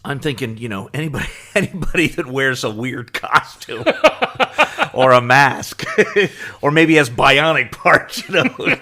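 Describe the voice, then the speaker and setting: A middle-aged man co-hosting a podcast in casual chat, explaining things in a halting way with repeated words. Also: mid-range voice, animated, pushed and bright. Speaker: podcast co-host.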